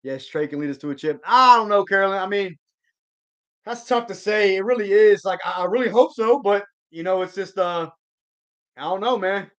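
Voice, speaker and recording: Dude on a sports stream, kinda high voice, slangy and rambly, lots of restarts. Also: male voice, normal speed, a bit bright, muffled recording. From Georgia. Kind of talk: casual live-stream chat reading comments.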